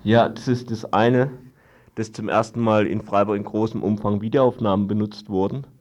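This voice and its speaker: A man giving an unscripted radio report, explaining things haltingly in long-winded sentences. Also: on the low side, steady tone, a little mellow. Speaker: guest reporting on a court trial.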